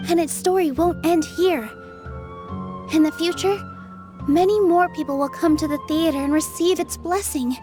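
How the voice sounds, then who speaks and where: A woman playing a gentle, earnest young female performer in a video game dub. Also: very high voice.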